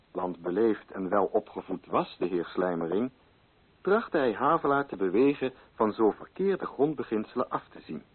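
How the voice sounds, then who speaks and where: An actor as a measured, ironic male storyteller, giving formal, deliberate radio drama narration in a husky voice. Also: mid-range voice. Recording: muffled, phone-like old radio recording.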